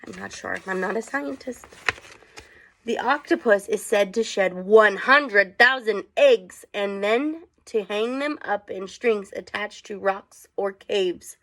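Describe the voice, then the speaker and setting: A woman's voice: medium pitch, slow, a bit bright. A woman teacher reading aloud to children, with chatty asides and questions for her young listeners.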